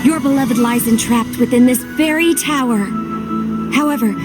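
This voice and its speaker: A woman's voice: high voice, moderate pace, music in the background, close to an everyday voice. A woman playing a mysterious, teasing master of ceremonies in a video game, proclaiming grandly. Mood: solemn, portentous. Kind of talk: video game cutscene narration in character.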